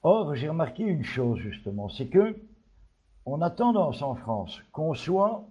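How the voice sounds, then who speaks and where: Casting: Belgian-born man, mellow voice, halting delivery, lo-fi recording. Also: on the high side, sing-song. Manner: hesitant, many fillers and restarts. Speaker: panel guest. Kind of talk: opinionated casual discussion.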